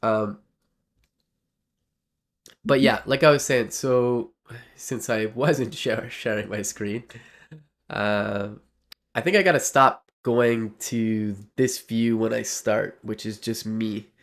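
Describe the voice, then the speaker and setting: A man's voice: on the low side, takes it slowly, pauses often. A man with a nasal voice from a cold, talking casually as he streams his coding work, rambling with lots of fillers and restarts. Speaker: livestreaming developer. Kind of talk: casual live coding talk.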